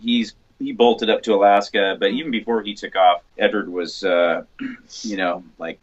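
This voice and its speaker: A man's voice: lowish voice, steady tone, normal speed. A man bantering in a casual interview, with a joking, slangy way of talking. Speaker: band member, drummer. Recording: dull, lo-fi recording.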